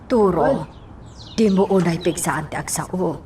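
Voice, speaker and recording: A woman playing a motherly older woman in a radio drama, cautioning and moralizing in a measured way. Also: lowish voice, warm tone, slight background noise. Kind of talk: Ilocano radio drama acting.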